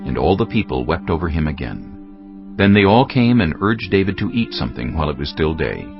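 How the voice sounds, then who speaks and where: A man narrating scripture as a dramatic story, measured and solemn. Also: rumbling low, big pitch swings, creaky. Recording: slightly dull sound, music underneath. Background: background music.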